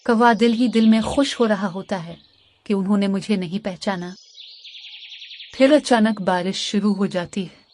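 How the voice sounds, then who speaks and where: A woman with a mid-pitched voice narrates a scripted children's fable as a storyteller. There is faint noise in the background. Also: normal speed, darkish tone.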